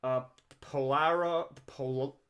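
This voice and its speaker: A man giving a casual commentary vlog, rambling with many restarts and swinging his pitch and loudness animatedly. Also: steady tone, slow pace, highs cut off. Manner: rambling, with frequent restarts and stumbles. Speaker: vlogger reviewing a TV series.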